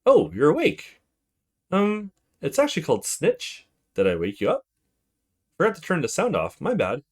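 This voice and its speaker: A man's voice: a little high, huge pitch swings, moderate pace, breathy edge, voice pushed up, exaggerated voice. Man reading as a casual, teasing young woman. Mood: apologetic, friendly. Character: friendly, coy young woman, rich student gamer.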